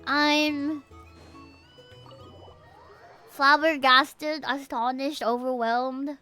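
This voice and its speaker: A woman livestreaming a game and chatting with viewers in an animated, emphatic, gushing way, with music behind her. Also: sits high, extremely slow. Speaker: game streamer. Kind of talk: livestream chat reacting to a game.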